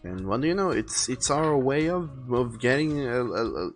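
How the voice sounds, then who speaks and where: A man's voice: mid-range voice, expressive, moderate pace. A man hosting a casual art livestream, talking to viewers in an earnest, conversational way. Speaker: livestreaming artist and host. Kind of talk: casual livestream chat, reading viewer messages aloud and giving advice. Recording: music behind the voice. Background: faint background music.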